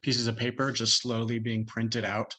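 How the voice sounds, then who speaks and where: A man in his early thirties telling his own experiences casually and haltingly as a panelist. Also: medium pitch, completely flat delivery, bright, forceful tone, slight background noise. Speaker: patient panelist. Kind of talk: casual storytelling on an online panel.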